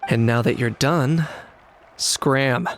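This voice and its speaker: A man playing a composed, matter-of-fact male advisor in a video game, measured, formal and dryly blunt. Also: mid-range voice, very noisy. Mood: curt. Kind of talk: video game character acting.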